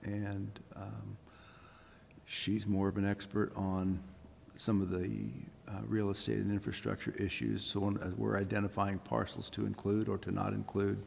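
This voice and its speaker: A man, a city official, explaining things in a halting, hesitant way at a public meeting. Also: fairly low pitch, monotone, vocal fry, darkish tone. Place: council chamber. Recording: echoey meeting room, faint noise.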